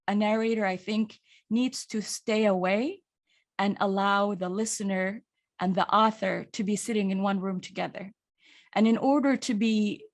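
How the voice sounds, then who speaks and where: A woman from Saudi Arabia with a Saudi Arabian accent, an actor and audiobook narrator talking thoughtfully in an interview, often restarting her sentences. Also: mid-range voice. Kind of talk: casual interview talk.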